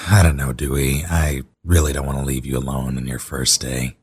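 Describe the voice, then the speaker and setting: A man playing a gruff, uneasy husband in an audio drama, speaking haltingly in a husky voice. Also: extremely low pitch, level tone, darkish tone, no room sound. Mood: reluctant, uneasy.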